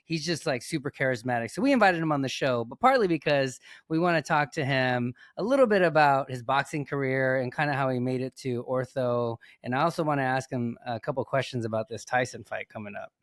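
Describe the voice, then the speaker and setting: A man hosting a podcast, introducing a guest in a relaxed way and chuckling often. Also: medium pitch, fairly quick, hardly any pauses, no echo, studio quality. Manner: laid-back, chuckles between lines. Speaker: podcast host. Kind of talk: casual podcast chat.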